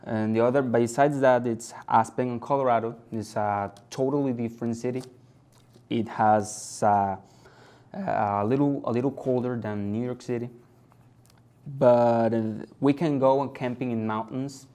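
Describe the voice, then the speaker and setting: An eighteen-year-old man from Venezuela, speaking haltingly as he searches for words during an English exam. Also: medium pitch, fairly even intonation, dark tone, smooth. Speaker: test candidate. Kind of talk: exam conversation.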